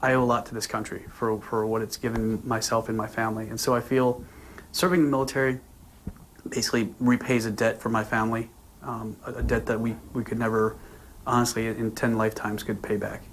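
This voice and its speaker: Earnest man with a flat voice. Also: fairly low pitch, a little hiss or hum, poor audio. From Vietnam. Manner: earnest, halting, with frequent restarts and fillers. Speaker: naval commander interviewed. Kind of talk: news interview.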